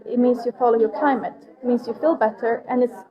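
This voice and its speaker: A woman raised in Sweden talks through her food project as an interview guest. She explains in plain, earnest steps in a high voice. Crowd chatter runs behind her. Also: steady tone, a little fast, lo-fi sound. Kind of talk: casual interview conversation.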